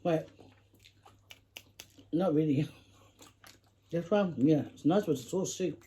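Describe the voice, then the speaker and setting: A woman's voice: low-pitched, takes it slowly, warm tone. A middle-aged woman chatting casually with her daughter while eating on camera, laughing often and talking between bites. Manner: chatty, giggly, talks while chewing. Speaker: mukbang vlogger, mother.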